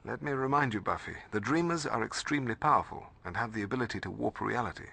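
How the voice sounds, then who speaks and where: A man's voice: mid-range voice, animated, quick pace, vocal fry, bright, forceful tone, a little hiss or hum. A man playing a learned, earnest middle-aged mentor in a video game, with a formal, bookish way of explaining. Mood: stern warning. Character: learned, earnest male mentor and researcher to a slayer. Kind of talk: video game cutscene acting.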